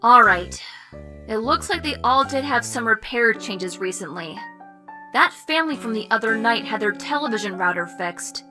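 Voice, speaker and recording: A woman playing a confident, teasing young hacker sidekick who explains things briskly in an audio drama. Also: fairly high pitch, lively intonation, pushed and bright, soft background music. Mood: confident, explaining. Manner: brisk, explanatory, self-assured. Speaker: voice actor.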